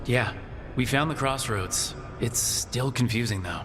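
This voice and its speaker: Pragmatic male party leader for a fantasy game, played by a man; mid-pitched, resolute, faint music. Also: a little slow, in a large hall, close to an everyday voice. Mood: plain, defensive. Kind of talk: video game dialogue.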